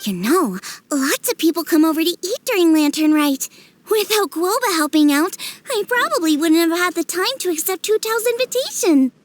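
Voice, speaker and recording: A woman's voice: very high-pitched, sing-song, normal speed, no echo. A woman voicing a cheerful, modest teenage girl chef in a video game, chatty and friendly. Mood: apologetic. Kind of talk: dubbed video game cutscene dialogue.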